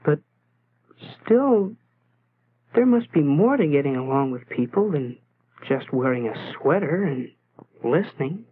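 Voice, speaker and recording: An actor playing a lonely, self-doubting teenage schoolboy, musing to himself in a brooding way in a 1940s educational film style, on a tinny, noisy soundtrack. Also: male voice, a little high, animated, a little slow, long pauses, breathy edge. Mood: pondering. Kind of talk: 1940s educational film inner-monologue narration.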